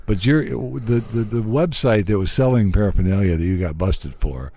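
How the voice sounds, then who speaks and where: Low-voiced man hosting an interview. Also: lively intonation, normal speed, warm tone, no echo. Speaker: radio interviewer, host. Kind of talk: casual conversational interview.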